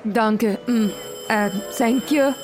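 High-voiced, halting foreign newlywed. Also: female voice, over music. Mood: weary, polite. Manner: hesitant, halting. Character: hesitant, travel-weary foreign newlywed woman. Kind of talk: audio fiction acting.

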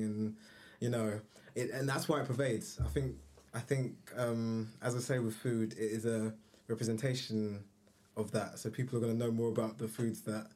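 A man's voice: mid-range voice, dark tone. A man with a mid-range voice chats on a podcast. He thinks aloud, restarts his sentences and works his way to a point. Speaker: podcast guest. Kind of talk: casual conversation.

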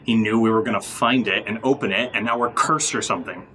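An actor playing an excitable, paranoid, joking young amateur sleuth in a naturalistic audio drama. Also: male voice, lowish voice, fast, a little hiss or hum, low-quality recording. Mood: uneasy, suspicious.

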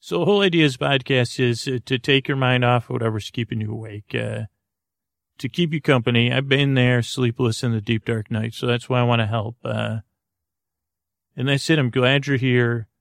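A man with a creaky voice hosting a bedtime podcast, musing aloud in a hesitant, meandering way and circling back on words. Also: mid-range voice, steady tone, normal speed, no echo, clean studio sound. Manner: musing, asks themselves questions. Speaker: podcast host.

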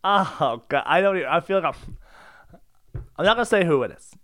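A man with a high, bright voice and a very animated delivery. He talks casually and comically as a podcast co-host, excitable and prone to giggly protest. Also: fairly quick. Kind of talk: casual comedic storytelling.

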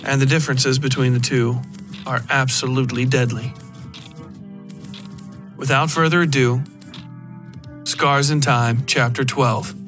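A man reading a scripted horror story as a podcast narrator, in a measured, literary delivery with deliberate pauses. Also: mid-range voice, steady tone, music in the background.

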